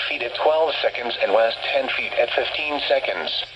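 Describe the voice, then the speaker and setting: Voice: medium pitch, expressive, moderate pace, muffled recording. A synthetic-sounding announcer reading a scripted marine forecast impersonally over a radio speaker. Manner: impersonal, formulaic. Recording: very noisy radio broadcast replayed in room.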